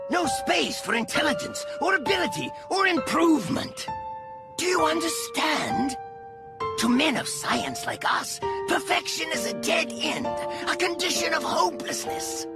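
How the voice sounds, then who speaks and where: An actor playing an arrogant, eccentric scientist villain, lecturing with theatrical condescension. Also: male voice, very high-pitched, exaggerated voice. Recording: loud music underneath.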